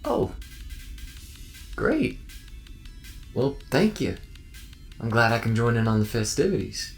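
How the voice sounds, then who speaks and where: A man's voice: medium pitch, big pitch swings, slow, gravelly, soft background music, full character voice. A man voicing a friendly, naive robot in a sci-fi audio roleplay, with a folksy, eager, self-effacing manner.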